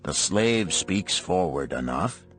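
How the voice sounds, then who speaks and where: A man's voice: low voice, lively intonation, takes it slowly, fairly bright tone, faint music behind, heavily acted. Man voicing a lordly desert slave master. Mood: curt, appraising.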